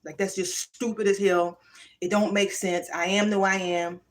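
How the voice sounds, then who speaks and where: A woman, speaking at an ordinary mid pitch in a loose, self-guided livestream monologue. Her delivery is animated and emphatic, and she slides from reflective musing into preachy pep talk. The sound is a casual phone or webcam recording. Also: moderate pace. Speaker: livestream vlogger.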